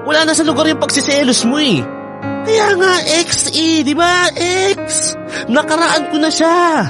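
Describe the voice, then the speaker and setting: A man's voice: very high-pitched, a little slow. A man with a Filipino accent playing an emphatic, exasperated but affectionate boyfriend in a radio drama. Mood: exasperated, argumentative. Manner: emphatic, expressive. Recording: music under the voice.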